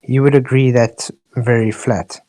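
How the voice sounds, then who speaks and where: A man's voice: mid-range voice, steady tone, a little slow, dark tone. A man from South Africa hosting a heated online debate and pressing for yes or no answers. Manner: insistent, pressing questions.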